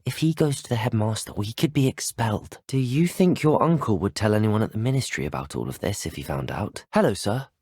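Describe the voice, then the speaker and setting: Polite, expressive British schoolboy hero. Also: male voice, on the low side, a little fast, few pauses, slightly rough, no echo, clean studio sound. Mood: wary, questioning, then steady, cautious. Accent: British English. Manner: polite, articulate, well-spoken. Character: earnest, polite teenage boy student at a wizarding school. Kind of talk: video game dialogue acting.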